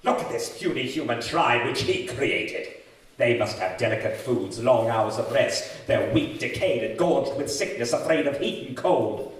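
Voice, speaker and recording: An actor playing a fanatical, grandiose young scientist in an old-time radio horror drama, declaiming with feverish excitement. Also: male voice, mid-range voice, moderate pace, natural voice. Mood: grandiose, raving. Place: live stage show in a community hall. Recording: echoey community hall, far from mic.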